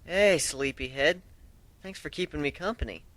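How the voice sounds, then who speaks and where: A man's voice: on the high side, moderate pace, fairly bright tone, no echo, close to an everyday voice. A man voicing a tough, joking, protective griffin warrior with a brash, casual way of talking in a story reading. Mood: soothing.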